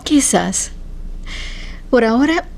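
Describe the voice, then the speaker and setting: A woman's voice: fairly high pitch, expressive, slow, vocal fry, darkish tone, full character voice. An actress playing a gracious, thoughtful young unmarried woman in a Spanish-language radio drama, polite and reflective.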